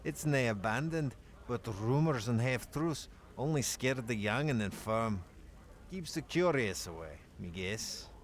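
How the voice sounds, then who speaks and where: A man voicing a gruff, bloodthirsty male dwarf warrior in a put-on Scottish accent, telling tales in an archaic brogue for a game. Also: medium pitch, expressive, close to an everyday voice. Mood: boastful. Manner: slow, boastful storytelling. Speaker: player reading the dialogue.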